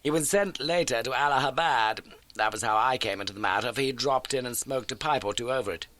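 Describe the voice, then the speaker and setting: A man voicing a brisk, keen, masterful male detective in an audiobook, with emphatic, animated and incisive delivery. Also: mid-range voice, slight background noise, pitched above the performer's own voice, close to an everyday voice. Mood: musing, brisk. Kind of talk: audiobook character dialogue.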